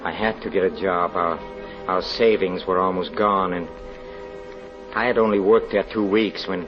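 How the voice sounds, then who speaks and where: An actor playing a haunted, grieving European husband confessing a tragedy, halting, stammering and pleading in theatrical 1940s radio drama style. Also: male voice, deep voice, steady tone, over music, lightly acted. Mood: haunted, remembering. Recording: muffled, noisy old radio recording.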